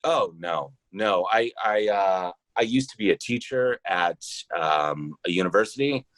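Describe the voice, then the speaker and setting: Male podcast guest, very deep voice, conversational and hesitant, closely dry recording. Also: expressive, measured pace, a bit bright, no echo. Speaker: podcast guest, role-playing show founder and trucker. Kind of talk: casual interview conversation.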